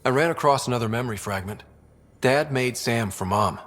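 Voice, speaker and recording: A man's voice: mid-range voice, a little fast, fairly bright tone, close to an everyday voice. A man playing a young, easygoing space explorer and team leader in video game dialogue, speaking casually. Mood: reflective. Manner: casual, conversational.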